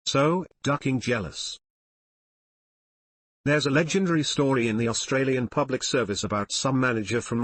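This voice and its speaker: A man narrates scripted online stories and reader comments. His voice sits at an average pitch with a husky, slightly rough edge. The sound is dry, with very little room around it. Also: dry recording. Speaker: narrator reading online forum posts and comments.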